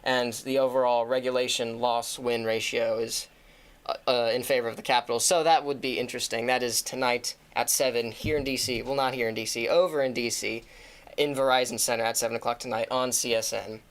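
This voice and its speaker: A man's voice: mid-range voice, steady tone, fairly quick, clear, resonant tone. A man announcing a hockey preview on a school news show, casual and self-correcting. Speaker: student sports announcer.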